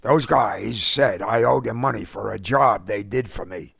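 A man reading an audiobook, voicing a tough, streetwise older brother who talks gruffly and defensively. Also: lowish voice, unhurried, a bit bright, no room sound, clean studio sound, heavily acted. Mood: breezy.

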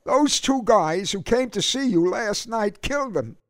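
A man's voice: high-pitched, expressive, unhurried, a bit bright, no room sound, studio quality, voice pushed up, heavily acted. A man reading an audiobook, voicing a young man who is urgent and pleading.

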